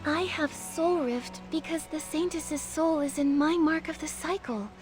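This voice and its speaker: Casting: earnest, soft-spoken young game heroine, high voice, music underscoring. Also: female voice, unhurried. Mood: thoughtful. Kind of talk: game cutscene acting.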